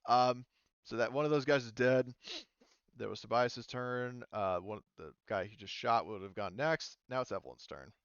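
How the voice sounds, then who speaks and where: A man running a tabletop roleplaying game as game master, talking casually and haltingly as he thinks aloud. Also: mid-range voice, clean tone, no room sound, muffled recording. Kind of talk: running a tabletop roleplaying game, improvised narration and rules talk.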